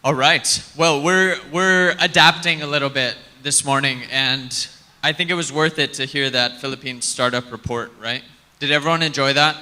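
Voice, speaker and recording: A man's voice: mid-range voice, bright, forceful tone. A man emceeing a tech conference on stage, chatting and joking with the audience as he introduces the next speaker. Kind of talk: live stage hosting, casual and joking. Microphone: handheld stage mic.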